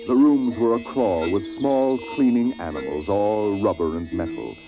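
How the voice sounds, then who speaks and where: A man narrating a story in solemn, theatrical old radio drama style with deliberate phrasing. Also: lowish voice. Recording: tinny vintage radio recording, music behind.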